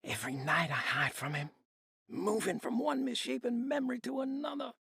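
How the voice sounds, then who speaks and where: An actor playing a tormented, melancholy man reciting a poetic game monologue in a theatrical, anguished way, with a husky, creaky voice. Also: extremely high pitch, moderate pace, pushed and bright, no echo, clean studio sound, heavily acted. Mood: haunted despair. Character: haunted, tormented, melancholy man reciting his written laments.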